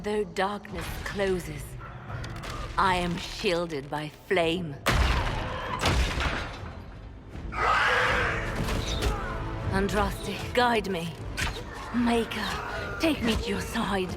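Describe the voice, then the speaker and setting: Woman playing grim fantasy spymaster, slow solemn intense delivery, music behind her. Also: medium pitch, natural voice. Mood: solemn prayer. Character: grim, resolute female spymaster in fantasy war. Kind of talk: video game cutscene acting.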